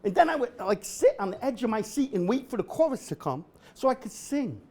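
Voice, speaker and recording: A middle-aged man doing a stand-up comedy set at an event, with a deadpan delivery that bursts into shouted singing. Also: very high voice, fairly quick. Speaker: comedian host. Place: event hall with a live audience.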